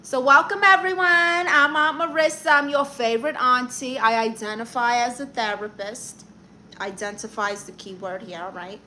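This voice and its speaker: A woman's voice: on the high side, fairly even intonation, sharp tone, a little hiss or hum. A young woman with a Brooklyn accent, hosting a livestream, reading viewer comments and firing back sassy advice and comebacks. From Brooklyn, New York. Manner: brassy, sassy, quick comebacks. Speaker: livestream host giving advice.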